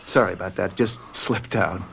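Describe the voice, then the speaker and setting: An actor playing an earnest, plain-spoken young law student in a radio drama, with direct, colloquial speech. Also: male voice, deep voice, normal speed, a bit bright, heavily acted. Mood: sober. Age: young adult. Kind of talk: American radio drama acting. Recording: radio drama with clear background noise.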